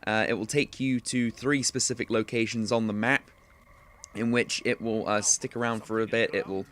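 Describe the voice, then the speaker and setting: A man's voice: fairly low pitch, steady tone, normal speed, fairly bright tone. Casual young guy narrating a game guide. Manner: casual, chatty, lots of uh fillers. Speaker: gaming tutorial host. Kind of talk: game walkthrough commentary.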